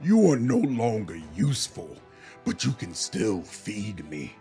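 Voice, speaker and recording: A man voicing a menacing, ravenous insect swarm commander in a fantasy game, slow, pompous and commanding. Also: fairly low pitch, wildly swooping pitch, mellow, faint music behind, full character voice.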